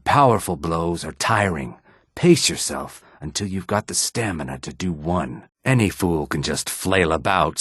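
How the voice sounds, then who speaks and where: An actor playing a weary, wary lizard-man laborer and fighter in a fantasy video game, speaking in a measured, guarded and plain-spoken way with a raspy throat. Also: sits low, no room sound, clean studio sound, exaggerated voice.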